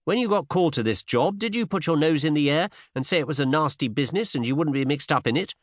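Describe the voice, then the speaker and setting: Man voicing a pert, high-pitched young woman. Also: animated, quick pace, no echo, clean studio sound. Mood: bold, coaxing. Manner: pert, teasing, emphatic. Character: cheeky, bold young woman, brash and morbidly curious. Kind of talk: audiobook character dialogue with period slang.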